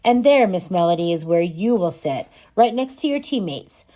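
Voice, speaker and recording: A woman's voice: on the low side, expressive, moderate pace, dark tone, lightly acted. A woman reading the part of a friendly, encouraging male stage manager and proud dad in a storybook, speaking in a kindly, direct, explanatory way. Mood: explaining.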